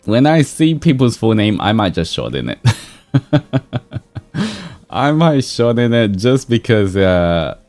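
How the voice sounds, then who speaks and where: A man hosting a livestream, moving between expressive story reading and casual, amused chat. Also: mid-range voice, slow, pauses often, soft background music. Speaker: livestream host reading fan fiction aloud.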